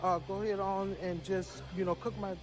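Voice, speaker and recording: A woman's voice: medium pitch, little pitch movement, moderate pace. Female cooking contestant over music. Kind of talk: explaining while cooking. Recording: TV show music behind voice.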